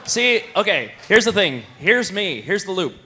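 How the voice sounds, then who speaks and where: A man's voice: high-pitched, big pitch swings, measured pace, bright, forceful tone. A man asking a rambling, jokey question from the audience at a convention panel, performing for the crowd. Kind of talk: rambling, joking question from the floor.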